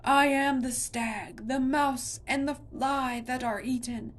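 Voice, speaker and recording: A woman doing a booming ancient tree monster. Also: a little slow, dark tone. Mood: roaring. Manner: booming, declaiming. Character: towering ancient tree monster, male, grand and menacing. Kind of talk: storybook reading.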